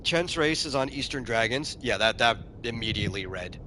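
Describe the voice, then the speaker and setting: Male game streamer, bright tense voice, casual chat, light background hiss. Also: medium pitch, lively intonation, moderate pace. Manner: chatty, repeats words when starting, answers chat. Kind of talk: casual commentary while playing a game.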